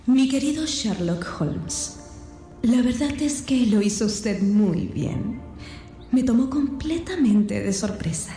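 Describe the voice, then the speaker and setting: Una actriz que interpreta a una dama astuta, elegante y burlona que lee una carta en una radionovela, con eco. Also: female voice, fairly high pitch, lively intonation, slow, dark tone, soft background music. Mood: poised, teasing. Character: clever, poised lady adventuress, elegantly teasing. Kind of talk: radio drama letter reading. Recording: roomy echo on the voice.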